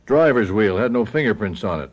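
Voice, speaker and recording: A deep-voiced, courteous, suspicious sergeant. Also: male voice, animated, fairly quick, lo-fi sound. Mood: politely probing. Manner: courteous, probing, understated. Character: polite, quietly suspicious police sergeant. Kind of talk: radio mystery drama acting.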